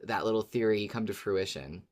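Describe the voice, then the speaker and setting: A man's voice: on the low side, moderate pace, darkish tone. A man co-hosting a casual fan podcast, chatting in an enthusiastic, agreeable way. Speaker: podcast co-host.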